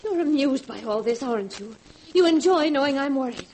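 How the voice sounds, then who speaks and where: A woman's voice: sits high, expressive, fairly quick, a little mellow. An actress playing an earnest, conflicted young woman in a fervent 1940s radio drama style. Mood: stern, indignant. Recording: noisy vintage 1940s radio recording.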